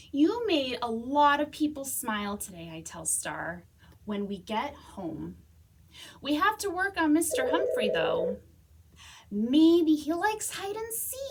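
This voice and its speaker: A woman reading a children's story aloud as a storytime reader, expressively, with dramatic pauses and whispers. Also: high-pitched, a little hiss or hum. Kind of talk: animated children's read-aloud.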